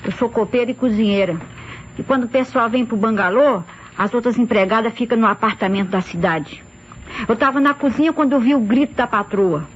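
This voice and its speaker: A woman's voice: a little high, fairly quick, lightly acted. A woman with a Brazilian accent playing a simple, talkative, respectful housemaid in an old radio drama, speaking colloquially on a muffled, noisy recording. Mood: respectful, chatty. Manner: deferential, colloquial storytelling.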